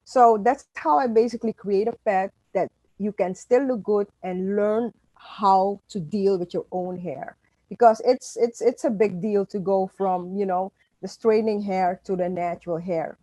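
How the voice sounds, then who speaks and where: A woman's voice: mid-range voice, a little slow, dark tone, no room sound, poor audio. A middle-aged woman from Suriname, a native Dutch speaker, talking as an author in an interview, emphatic with frequent restarts. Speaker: author and guest. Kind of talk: conversational interview.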